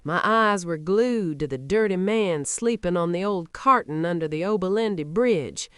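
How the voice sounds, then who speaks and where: A woman with a Nigerian accent narrating a scripted first-person folktale in a dramatic, expressive way. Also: on the low side, measured pace, smooth, no echo, clean studio sound. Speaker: storyteller.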